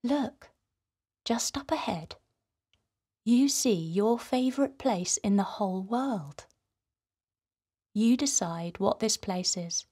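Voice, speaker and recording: A woman narrates a guided relaxation for children at a very unhurried pace. Her delivery is gentle and soothing, with a soft breathiness. She sits at a middle pitch and addresses the young listener directly. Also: slightly rough. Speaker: children's guided meditation host.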